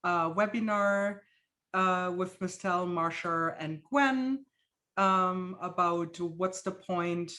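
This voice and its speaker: A woman's voice: on the low side, takes it slowly, pushed and bright. A woman from Germany with a German accent, hosting an art webinar over a video call in a casual, chatty way with many fillers. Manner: chatty, with frequent fillers and laughs. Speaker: host. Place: home studio.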